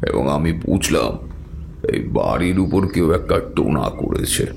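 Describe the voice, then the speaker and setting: A man's voice: rumbling low, expressive, measured pace, vocal fry, noisy background. A man narrating a scripted first-person horror story, as the storyteller of an audio drama.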